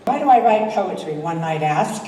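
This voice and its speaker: A woman's voice: fairly low pitch, animated, normal speed, dark tone. An older woman introducing and reciting her own poetry to a live audience at a church talent show. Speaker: amateur poet reading own poems. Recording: very echoey hall, distant mic, faint noise.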